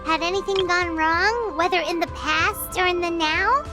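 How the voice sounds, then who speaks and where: A tiny fairy-like game companion chirps in with nosy, excitable remarks. The voice sits extremely high and squeaky. Music plays softly behind her. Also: normal speed. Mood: curious. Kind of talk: game cutscene voice acting.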